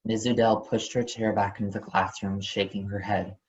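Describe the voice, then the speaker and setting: A man's voice: fairly low pitch, level tone, normal speed, a little hiss or hum. A man narrating children's fiction as a dramatic reading. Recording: dull, lo-fi sound.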